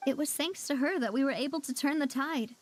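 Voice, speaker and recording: A woman playing a poised, spirited young lady in an anime game, speaking in a warm, candid, reflective way. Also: sits high, brisk, natural voice. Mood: friendly, confiding. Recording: faint music behind the voice.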